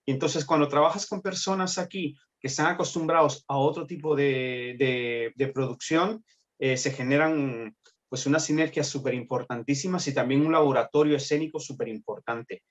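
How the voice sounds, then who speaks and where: A man's voice: mid-range voice, moderate pace. A Guatemalan man speaking with a Guatemalan Spanish accent as a panel guest, reflective and emphatic about his theater work. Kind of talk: online panel discussion.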